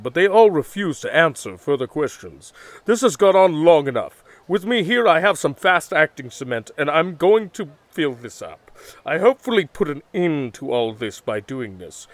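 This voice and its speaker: High-voiced, animated field reporter acted by a man. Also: no room sound. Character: bold, earnest male field news reporter. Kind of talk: audio drama on-location report acting.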